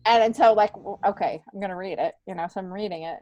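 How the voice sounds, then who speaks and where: A woman's voice: medium pitch, fast talker, warm tone, no room sound, highs cut off. Chatty middle-aged woman, close dry sound. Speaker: podcast guest, stand-up comedian. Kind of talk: casual conversational storytelling.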